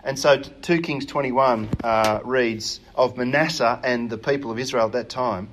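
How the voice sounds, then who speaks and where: A man preaching a sermon in an earnest, emphatic way, often pausing with hesitations. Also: mid-range voice, fairly bright tone, a little hiss or hum.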